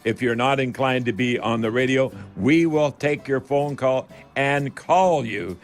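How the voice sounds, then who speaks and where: A man's voice: medium pitch, unhurried. A man hosting a radio call-in show in a folksy, rambling way, addressing listeners as friends. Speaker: host of a home improvement radio call-in show.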